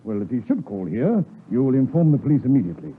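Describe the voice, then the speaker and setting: An actor playing an officious British Scotland Yard inspector in a 1950s radio drama, formal, polite and insistent. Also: male voice, mid-range voice, very animated, fast, slightly rough. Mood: firm. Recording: muffled, lo-fi 1950s radio broadcast.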